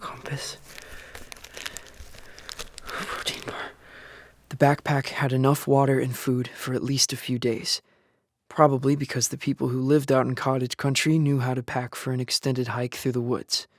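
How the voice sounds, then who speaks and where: A man's voice: mid-range voice, level tone, normal speed, dry recording, clean studio sound, lightly acted. An actor playing a young male survivor of an apocalypse in a first-person audio drama, earnest, shaken and grieving for his father. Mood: uneasy, pained. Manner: somber, earnest storytelling with anguished outbursts. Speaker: lead actor and narrator. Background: music.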